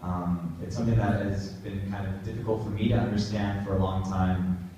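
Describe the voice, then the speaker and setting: A man's voice: low voice, little pitch movement, normal speed, mellow, a little hiss or hum. A young man in his twenties from California, giving a casual talk to middle schoolers with many fillers and restarts. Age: 20s. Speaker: guest speaker. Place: large echoey school room. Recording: echoey room from far off.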